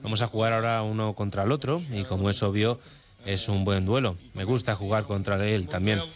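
Low male interview voice-over, crowd behind. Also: steady tone, a little fast.

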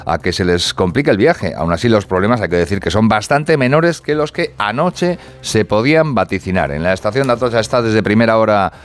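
A man's voice: lowish voice, brisk. A man with a Castilian Spanish accent presenting the news on air.

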